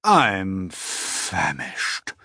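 A man's voice: deep voice, huge pitch swings, drawn-out words, sharp tone, slightly rough, no echo, clean studio sound, heavily acted. Old storybook grasshopper, courteous and fussy man performing. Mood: concerned.

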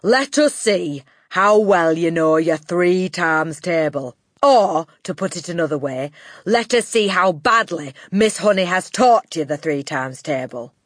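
Booming, tyrannical bully of a headmistress. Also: female voice, dry recording, studio quality. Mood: commanding. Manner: booming, barking, shouted insults with drawn-out emphasis. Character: huge tyrannical bullying headmistress, explosive and contemptuous. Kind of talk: audiobook character acting.

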